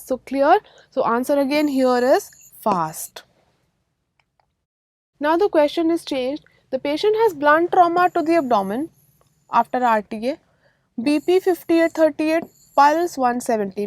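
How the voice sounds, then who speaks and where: A woman with an Indian accent gives an exam-prep medical lecture in a high-pitched voice. She stresses and repeats key points, often asking questions to check understanding. The sound is dry, with very little room echo. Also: darkish tone.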